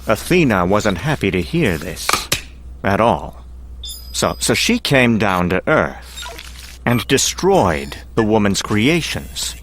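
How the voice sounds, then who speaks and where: A grandiose, menacing male industrialist performed by a voice actor in a comic-book drama. His voice is low and highly animated, with deliberate dramatic pauses. A faint noisy ambience sits behind him. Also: heavily acted. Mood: theatrical storytelling. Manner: theatrical storytelling with deliberate pauses.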